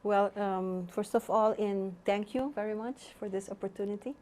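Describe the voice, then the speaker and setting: A woman's voice: mid-range voice, steady tone, normal speed, darkish tone. A woman from Aruba, a Papiamento speaker, talking conversationally as a teacher in an interview.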